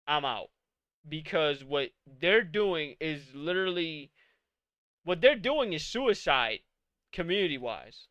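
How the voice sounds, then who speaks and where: A man's voice: on the high side, very animated, slow pace, a bit bright, dry recording. A man in his late twenties hosting a live-streamed podcast, ranting casually with profanity and exasperated rhetorical questions. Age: 20s. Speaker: podcast host.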